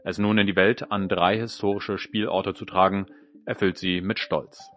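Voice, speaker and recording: A man's voice: low-pitched, level tone, moderate pace, lo-fi sound. A man presenting a culture programme, reading a scripted radio report.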